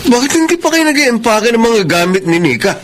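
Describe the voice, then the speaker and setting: An actor in a Filipino radio drama playing a stubborn, self-justifying dockworker husband with an insistent, dramatic delivery. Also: male voice, very high voice, sing-song, a little fast, a little hiss or hum.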